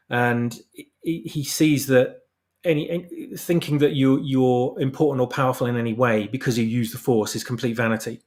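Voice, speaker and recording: A man speaking as a guest author in a casual interview, explaining haltingly and repeating words as he goes. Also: mid-range voice.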